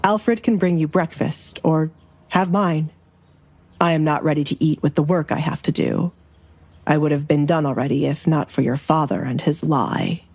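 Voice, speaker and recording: A woman's voice: sits low, moderate pace, a little mellow, slightly rough, faint music behind. A woman voicing a dangerous nobleman duke in an audio romance, formal, commanding and dryly teasing. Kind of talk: dramatized audio romance dialogue.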